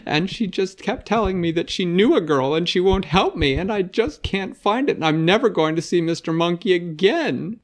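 A worried young girl pleads for help in a storybook, as a man puts her on. Her voice sits well above his own and lifts higher when she is upset. She speaks slowly and with feeling. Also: expressive. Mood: pleading.

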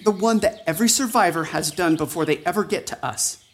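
An actress playing a worried, protective old friend in an audio drama, speaking earnestly and insistently. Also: female voice, on the low side, a little fast, a little hiss or hum, natural voice. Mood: insistent, exasperated. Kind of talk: fiction podcast drama acting.

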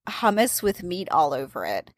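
A woman's voice: lowish voice, big pitch swings, normal speed, no room sound, studio quality. A woman chatting casually, walking through a recipe step by step. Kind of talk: casual chat about cookbooks.

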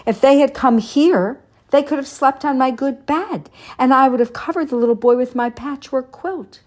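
A woman voicing a kindly old male shoemaker who talks in a gentle, wistful way. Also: high-pitched, moderate pace, pitched above the performer's own voice.

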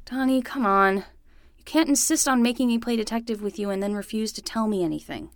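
A woman's voice: on the high side, a little fast, no room sound, natural voice. A woman playing a sensible, skeptical young summer nanny in a naturalistic, dryly exasperated way for a fiction podcast. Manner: dry, exasperated, practical.

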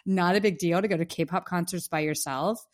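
A woman co-hosts a podcast with easy, talkative warmth and laughs often. Her voice is fading from a cough, a little rough and lower than most. She rambles in a relaxed, friendly way. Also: fairly quick. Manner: chatty, laughs easily. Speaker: podcast co-host. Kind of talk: casual podcast chat.

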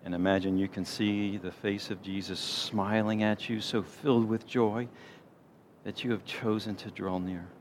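Low-voiced man leading a gentle guided meditation. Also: steady tone. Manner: gentle, unhurried, soothing delivery with pauses. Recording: clear background noise, low rumble.

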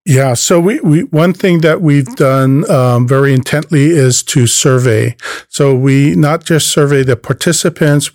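Halting man. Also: medium pitch, a little mellow, no echo, studio quality. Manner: halting, many fillers and restarts. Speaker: nonprofit program leader, guest. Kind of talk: interview answers.

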